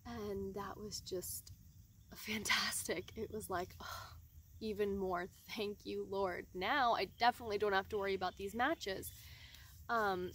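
A young adult woman chats to a camera outdoors in casual storytelling. Her voice sits at a medium pitch, and she is expressive, slipping into quoted dialogue as she recounts what people said. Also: a little hiss or hum. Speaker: vlogger telling a hiking testimony. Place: outdoors in a pine forest.

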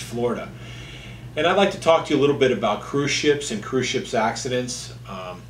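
A man in his fifties, a lawyer, explaining things to camera in a halting, conversational way in an office. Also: lowish voice. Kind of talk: informal legal explainer to camera. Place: indoor office, seated at a distance from the camera. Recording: echoey room with faint hum.

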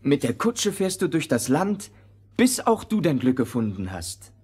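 An actor playing a kindly, generous young prince with a husky voice in a fairy-tale audio drama. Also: male voice, fairly high pitch, big pitch swings, a little slow, darkish tone, faint music behind, full character voice.

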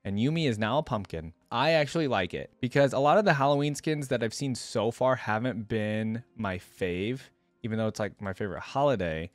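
A young man hosts a casual reaction video in a chatty, opinionated way. His pitch moves up and down freely in an animated delivery. The sound is dry and close, as from a desk microphone. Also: a little fast, no echo. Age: young adult. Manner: chatty, opinionated, thinks aloud. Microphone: close desktop microphone, headset on.

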